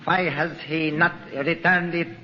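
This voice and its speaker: An actor playing a menacing cobra cult priest in an old-time radio melodrama, with solemn, pompous, ceremonial phrasing. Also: male voice, on the high side, fairly even intonation, a little slow, slight background noise, lightly acted. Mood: haughty menace. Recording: muffled old radio recording, long reverb, music.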